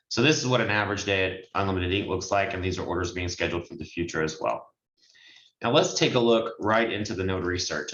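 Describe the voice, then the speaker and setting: Male online trainer, lowish pitch, informal step-by-step explainer, muffled call sound. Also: a bit bright, reverberant. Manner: explanatory, step-by-step, addresses listeners directly. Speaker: notary trainer and signing agency presenter. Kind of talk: informal screen-share training walkthrough. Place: indoors on a group video call.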